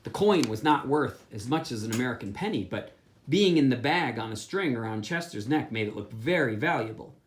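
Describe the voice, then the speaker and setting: A pastor reading a children's picture book aloud, with playful asides. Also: male voice, medium pitch, a little fast. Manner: animated storytelling with asides.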